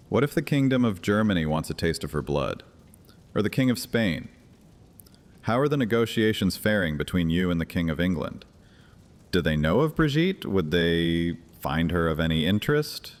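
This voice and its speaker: An actor playing an ancient vampire high priest in an audio horror drama, grandiose, sardonic and taunting. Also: male voice. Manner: theatrical, sardonic, taunting, with casual asides. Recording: long cavernous reverb.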